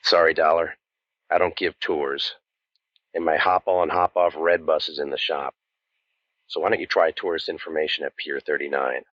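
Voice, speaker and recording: An actor playing a cynical, wisecracking waterfront private eye, narrating old-time radio noir in a deadpan, world-weary manner. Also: male voice, very deep voice, moderate pace, no room sound, lo-fi sound. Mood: sardonic. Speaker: narrator and lead detective.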